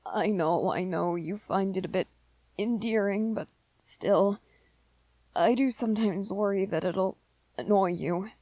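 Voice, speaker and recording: A woman playing a shy, anxious young male vampire boyfriend in an ASMR roleplay, soft-spoken and hesitant, with apologetic stammers. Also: mid-range voice, a little slow, no room sound. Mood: nervous, hesitant. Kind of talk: ASMR roleplay, scripted one-sided dialogue to listener.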